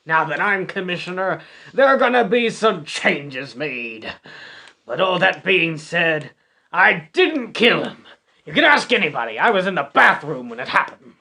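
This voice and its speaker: A man's voice: sits high, very animated, unhurried, creaky, pushed and bright, heavily acted. A man playing a brash, self-important, ambitious police lieutenant in a comic radio play, with a dismissive manner. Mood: smug, defensive.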